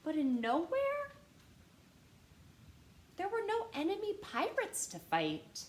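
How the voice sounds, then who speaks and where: Animated high-voiced woman reading to children. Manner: animated, addressing young children with questions. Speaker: storytime reader and host. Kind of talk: expressive children's read-aloud. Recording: roomy echo with faint background noise.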